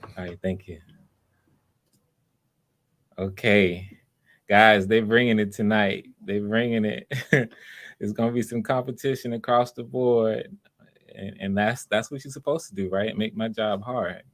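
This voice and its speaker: Male audition host, low voice, casual and encouraging, repeats himself. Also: fairly even intonation, a little slow, long pauses. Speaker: casting director hosting live voice auditions. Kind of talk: casual live audition chat.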